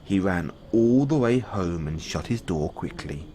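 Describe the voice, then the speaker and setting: A man reading bedtime fairy tales as an audio roleplay, in measured storybook narration. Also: sits low, animated, mellow, a little hiss or hum.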